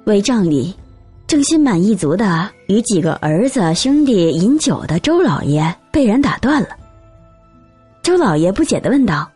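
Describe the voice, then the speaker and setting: A woman reading a novel aloud as an expressive, scripted audiobook narrator. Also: mid-range voice, moderate pace, husky, soft background music.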